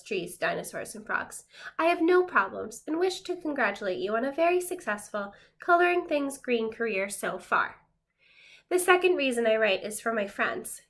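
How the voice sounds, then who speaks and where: A woman reading a children's storybook aloud in an expressive, acted style. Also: high voice. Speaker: storybook reader. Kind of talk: animated children's read-aloud.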